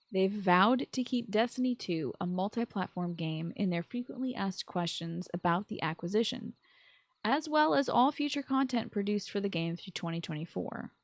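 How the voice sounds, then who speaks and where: Female podcast host, mid-pitched, scripted but expressive delivery with keen asides, very dry sound. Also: no room sound. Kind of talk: scripted solo podcast commentary on video games.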